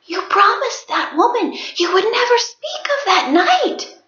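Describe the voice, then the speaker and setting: A woman storyteller puts on a delicate young snow wife from a folk tale. The voice is light and pitched very high, earnest and imploring, in a roomy, slightly dull recording. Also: sing-song, unhurried, a bit bright, full character voice. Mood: angry. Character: young pale snow wife, delicate, pleading, later angry.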